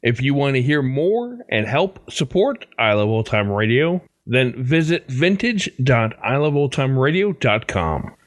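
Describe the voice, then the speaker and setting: A dry, close recording of a male podcast host. He reads scripted announcements at a middle pitch. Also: big pitch swings, unhurried, hardly any pauses, dry recording.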